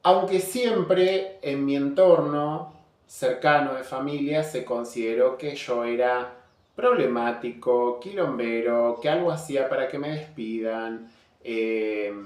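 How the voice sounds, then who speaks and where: An earnest young man with an Argentine Rioplatense accent speaks openly in a casual vlog monologue. His voice sits at an ordinary middle pitch, and he often stops to restart a thought. The room around him rings with a noticeable echo. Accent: Argentine Rioplatense Spanish. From Buenos Aires province, Argentina. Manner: candid, earnest, speaks in halting restarts and fillers. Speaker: vlogger.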